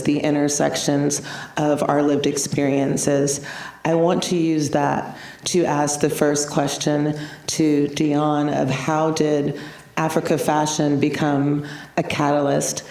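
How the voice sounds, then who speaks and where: A woman from the United States opens a panel in a low, steady, mellow voice. She speaks deliberately. The sound is roomy and a bit distant from the mic. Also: gravelly. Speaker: moderator. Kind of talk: prepared panel introduction.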